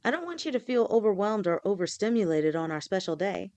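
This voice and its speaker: A woman voicing a gentle, protective snake-woman girlfriend who talks softly and reassuringly to her partner in an audio roleplay. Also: medium pitch, very animated, fast talker. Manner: soft, reassuring, speaks directly to a partner.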